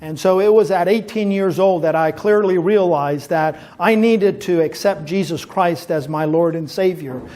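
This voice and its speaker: A pastor in his fifties, raised in Taiwan, giving a relaxed talk to young people at a church, telling stories with light jokes and many pauses. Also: high voice. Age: 50s. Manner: relaxed storytelling with gentle jokes and frequent "uh" pauses. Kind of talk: informal talk to a youth audience at a church event.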